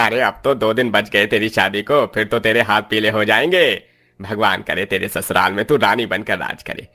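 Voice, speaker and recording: A man acts an older village farmer uncle in a cartoon. His voice is fairly low but bright and forceful, with an affectionate, fatherly manner. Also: normal speed. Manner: affectionate, blessing. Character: kindly older village uncle, farm employer. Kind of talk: moral story cartoon acting.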